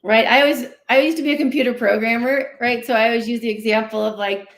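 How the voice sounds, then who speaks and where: A woman's voice: fairly high pitch, fairly even intonation, fast. A woman guest therapist explains things conversationally and animatedly, acting out inner dialogue and often checking in with "right?". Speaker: guest therapist, licensed clinical social worker. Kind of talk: conversational explaining in a livestream interview. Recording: roomy, echoey sound.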